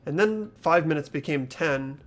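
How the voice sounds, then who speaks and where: A man playing an earnest, uneasy high school boy in a fan-dubbed visual novel. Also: moderate pace, natural voice. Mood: tense narrating.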